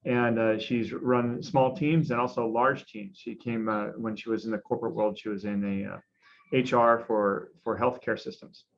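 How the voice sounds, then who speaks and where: A man hosting a panel discussion, introducing guests with many pauses and fillers. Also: lowish voice, fairly even intonation, gravelly. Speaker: host. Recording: slightly dull lo-fi audio.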